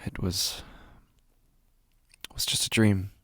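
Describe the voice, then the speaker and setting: A man playing a frightened, sleepless narrator of his own waking nightmare in a horror podcast, halting and intense, repeating his phrases. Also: fairly bright tone, dry recording, studio quality. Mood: dazed, uneasy. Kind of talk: horror fiction podcast, dramatic first-person monologue.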